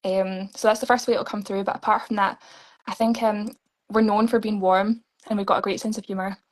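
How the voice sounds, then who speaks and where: A woman's voice: medium pitch, little pitch movement, fairly quick. A young woman from Scotland with a Scottish accent, answering questions earnestly and upbeat in a live online interview, laughing often. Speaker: pageant contestant.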